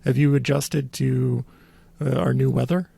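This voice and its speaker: A man hosting a podcast in casual conversation, with a halting, wry way of talking. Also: mid-range voice, level tone, creaky, mellow, husky, no echo. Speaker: podcast host.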